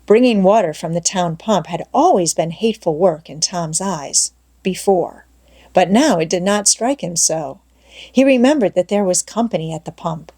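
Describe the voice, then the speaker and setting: A woman narrating an audiobook, reading a scripted story aloud in a measured, expressive way. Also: fairly low pitch, slightly rough.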